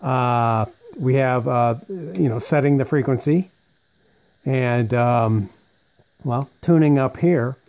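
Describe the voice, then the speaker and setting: Mellow-voiced man rambling through a casual demonstration. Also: medium pitch, slow. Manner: rambling, conversational, thinking aloud. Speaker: presenter showing vintage military radio equipment. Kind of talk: casual unscripted show-and-tell narration.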